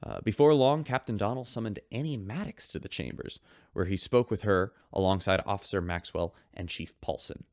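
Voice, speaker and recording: A man's voice: on the low side, moderate pace, a little mellow, no echo, clean studio sound. A man as a chatty, upbeat radio show host. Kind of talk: scripted radio drama host.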